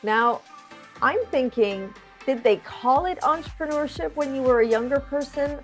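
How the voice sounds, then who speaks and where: A woman's voice: sits high, measured pace, faint music behind. A woman hosting an interview show, introducing her guest and putting questions in a structured, conversational way.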